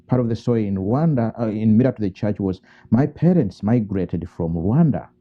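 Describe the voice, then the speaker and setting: A man from Uganda, a theologian and priest giving thoughtful interview answers, pausing and restarting and asking himself rhetorical questions. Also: lowish voice, animated. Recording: muffled lo-fi call audio.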